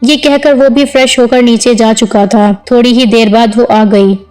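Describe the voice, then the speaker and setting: A woman's voice: on the high side, fairly even intonation, normal speed, darkish tone. A woman reading a scripted romantic drama story as its narrator.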